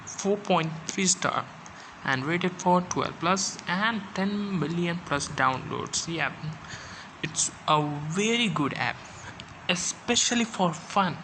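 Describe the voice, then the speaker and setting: Video host for an app walkthrough, unhurried and halting, with repeated phrases, on a noisy homemade recording. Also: mid-range voice. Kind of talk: app review and tutorial narration.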